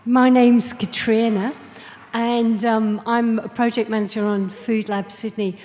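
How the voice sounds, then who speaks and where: A woman's voice: on the high side, little pitch movement, measured pace, darkish tone, slight background noise. A woman with an English accent introducing herself at a panel event as a project manager. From England. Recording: hall reverb.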